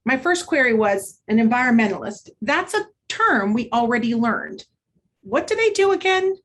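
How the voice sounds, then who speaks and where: A woman instructor giving an instructional presentation, explaining in a clear, structured way with short measured phrases. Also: a little high, big pitch swings, a bit bright, a little hiss or hum. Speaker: literacy instructor. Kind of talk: instructional presentation with modeled read-aloud.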